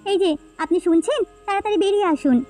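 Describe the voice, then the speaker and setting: A woman voicing a kindly, concerned village housewife in a cartoon folktale, earnest and expressive. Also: extremely high pitch, fast, soft background music. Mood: earnest, hopeful. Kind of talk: Bengali cartoon folktale voice acting.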